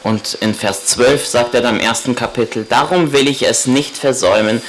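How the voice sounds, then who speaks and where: A man's voice: medium pitch, moderate pace, faint music behind. A man at a conference, interpreting a lecture phrase by phrase right after the speaker. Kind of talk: consecutive interpreting. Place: conference hall.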